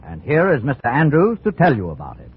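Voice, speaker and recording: A man's voice: mid-range voice, wildly swooping pitch, a little fast, husky. An American man announcing a 1950s radio program in a dramatic, formal style. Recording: muffled, band-limited old radio with faint music.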